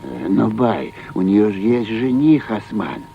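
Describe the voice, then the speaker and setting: An actor voicing a meek, poor shepherd father in an animated film, hesitant and pleading. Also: lowish voice, unhurried, creaky, a little hiss or hum, heavily acted. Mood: timid pleading. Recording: muffled old soundtrack with music.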